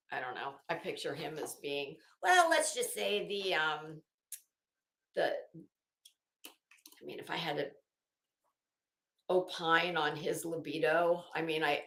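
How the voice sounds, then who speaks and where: A woman host reading aloud and adding sarcastic, emphatic, halting commentary as she goes. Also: low-pitched, bright, forceful tone. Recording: echoey room sound.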